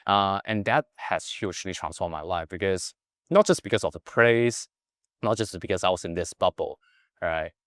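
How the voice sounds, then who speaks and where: Low guy, earnest life coach vibe, keeps saying right?, dead dry sound. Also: moderate pace, fairly bright tone, no room sound. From Hong Kong. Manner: earnest, keeps adding "right?" tags to check in with the listener. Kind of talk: reflective personal storytelling.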